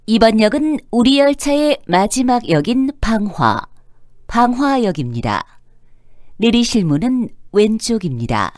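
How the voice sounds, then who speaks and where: A woman makes formal public transit announcements in a slightly high voice. Her intonation rises and falls in a lively way, each word carefully enunciated. The sound is dry and close. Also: a little slow, no echo, soft background music. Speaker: subway announcer.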